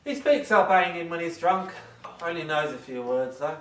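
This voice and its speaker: A woman's voice: fairly low pitch, expressive, normal speed, far from the mic, lightly acted. A woman playing a mischievous actor who teases and spouts mock-heroic lines in a radio play. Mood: dry mockery. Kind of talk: comic radio play acting. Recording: echoey room, off the mic.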